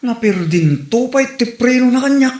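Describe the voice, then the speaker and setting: A woman's voice: on the high side, animated, moderate pace, a little mellow, close to an everyday voice. A woman playing a teasing, complaining neighbor in a comedy drama, with an Ilocano accent. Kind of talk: comedy radio-style drama acting. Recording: roomy echo, faint background noise.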